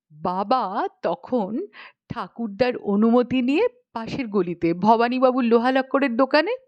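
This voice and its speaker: A woman reads a humorous tale in an expressive audiobook style. Her voice sits at an ordinary female pitch and she leaves deliberate, dramatic pauses between phrases. The sound is dry, with very little room in it. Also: no room sound, muffled recording. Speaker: audiobook narrator. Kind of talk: expressive storytelling narration of a humorous short story.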